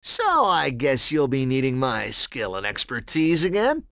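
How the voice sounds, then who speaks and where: A man's voice: mid-range voice, wildly swooping pitch, unhurried, gravelly, a bit bright, no room sound, studio quality, exaggerated voice. A man voicing a cocky, laid-back young cheetah sidekick in a video game, breezy, slangy and friendly. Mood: smug. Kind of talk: animated video game voice acting.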